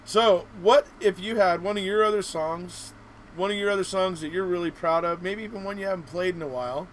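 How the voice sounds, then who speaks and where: Male host, slightly high voice, supportive and wordy conversational style, light ambient noise. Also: fairly quick, low-quality recording. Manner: encouraging, wordy. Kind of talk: casual interview chat.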